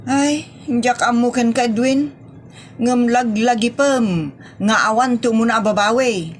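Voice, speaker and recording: Woman acting a nagging, long-suffering woman, high-pitched. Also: unhurried, a little hiss or hum, lightly acted. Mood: reproachful. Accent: Ilocano. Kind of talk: comedic audio drama acting.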